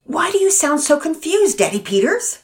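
An irritable storybook mother who talks in loud, huffy bursts, put on by a woman reading aloud. Her voice sits high, well above the reader's own. She sounds short-tempered and fed up. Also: expressive, normal speed, lightly acted. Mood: irritated. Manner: loud, huffy, rising to tearful. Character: exasperated mother, quick to anger.

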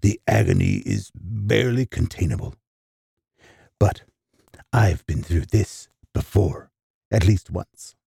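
Gravelly male horror narrator, very deep and dark-toned; theatrical, close and dry. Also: wildly swooping pitch, takes it slowly, long pauses, no echo. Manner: theatrical, with sudden gasps and hushed asides. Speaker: storyteller. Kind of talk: dramatic first-person horror narration.

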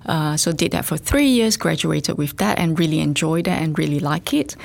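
A woman's voice: low voice, animated, moderate pace, vocal fry. A middle-aged woman from Singapore, a scientist and facility director, chatting thoughtfully in an interview with frequent fillers and restarts. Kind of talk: casual interview chat.

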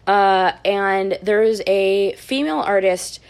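A woman chatting casually as a podcast co-host, telling stories in an informal, wry and animated way. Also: medium pitch, slow pace, smooth. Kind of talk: casual conversational storytelling.

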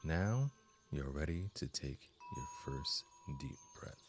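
Very deep-voiced man guiding meditation slowly. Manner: calm, deliberate, measured phrasing with long pauses. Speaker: guided meditation host and instructor. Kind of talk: slow guided meditation instruction. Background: soft meditation music with chimes.

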